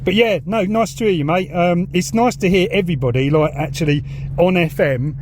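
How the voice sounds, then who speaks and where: High-voiced matey southern English man. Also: a little fast, darkish tone, a little hiss or hum. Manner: matey, informal, enthusiastic. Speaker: YouTube vlogger and radio hobbyist. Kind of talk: casual chat while demonstrating and talking on CB radio. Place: in and around a parked car outdoors.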